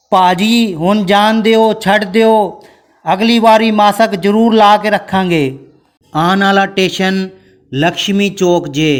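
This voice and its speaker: Comic male train announcer acted by a man, Pakistani Punjabi accent, mock-polite, instructing, high and unhurried. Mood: folksy, informative. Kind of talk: parody public transport announcement.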